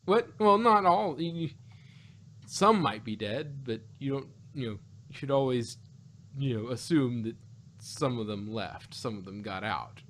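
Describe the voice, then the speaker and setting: A man reviewing in a casual podcast conversation, talking in a halting, animated, argumentative way and often repeating himself. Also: medium pitch, slight background noise. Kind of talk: casual review chat about an audio drama.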